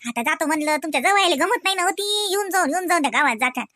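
High-pitched village grandmother, acted by a woman, Varhadi accent. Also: lively intonation, very fast, pushed and bright, no echo, studio quality, full character voice. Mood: explaining. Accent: Varhadi Marathi from Vidarbha. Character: chatty village grandmother grumbling about family, fond of grandchildren. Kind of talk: comedy cartoon dialogue.

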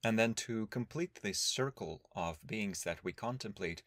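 Low-voiced man guiding a meditation. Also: dry recording. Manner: calm, measured, instructional, with long pauses. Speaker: meditation teacher. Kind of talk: guided meditation.

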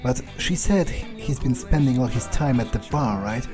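A man's voice: medium pitch, moderate pace, music in the background. Man muttering through an in-character game playthrough. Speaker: player of a video game playthrough, speaking in character. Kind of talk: in-character roleplay gameplay narration, thinking aloud.

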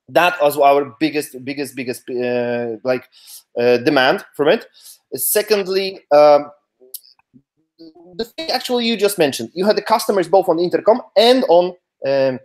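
A man in his thirties informally explaining a product on a video call, halting and rephrasing as he goes. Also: on the high side, a little mellow. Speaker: webinar co-presenter. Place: small room at home or office, on a video call.

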